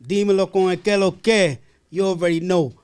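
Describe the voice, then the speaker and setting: A man hosting a podcast, talking in energetic streetwise slang and narrating a guest's story. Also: sits high, fairly quick, a little hiss or hum. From United States. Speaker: podcast host. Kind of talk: hype podcast intro and narrated guest bio.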